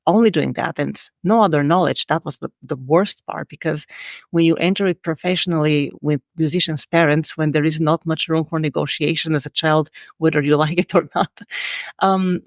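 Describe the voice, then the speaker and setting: Female guest, 40s, Bulgarian accent, gravelly texture, earnest and self-critical storyteller. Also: low voice, normal speed, dry recording, studio quality. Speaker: podcast guest. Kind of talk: reflective interview answers.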